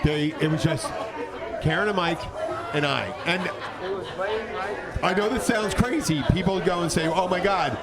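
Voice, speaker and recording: A man chatting casually as a podcast host, telling stories in an animated, rambling way. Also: sits high, measured pace, pauses often. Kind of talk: casual bar-side chat. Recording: loud crowd noise, outdoor urban setting. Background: loud crowd and outdoor bustle.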